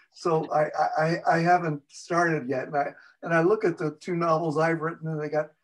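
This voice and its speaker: A man's voice: fairly high pitch, fairly even intonation, moderate pace, rough recording. A man hosting a casual interview, chatty and easygoing, asking questions and taking comments from the audience. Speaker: host.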